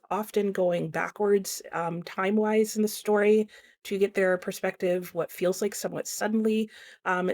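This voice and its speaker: A woman's voice: medium pitch, darkish tone. A woman talking casually and explaining her thoughts, as a book reviewer speaking in a vlog. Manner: conversational, explanatory.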